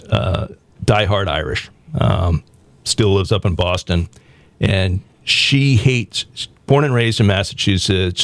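A talk show host from the American South with a slightly low voice. He speaks bluntly and opinionatedly, often stopping and restarting his sentences. The recording is dry and close. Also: no echo. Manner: blunt, provocative, halting with restarts.

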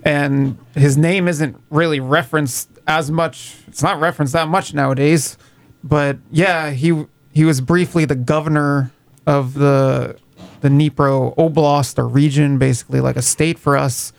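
A reporter talking in a measured, explanatory way. His voice sits a little high and has a gravelly fry. The sound is dry and close to the mic. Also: fairly even intonation, dry recording. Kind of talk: casual discussion.

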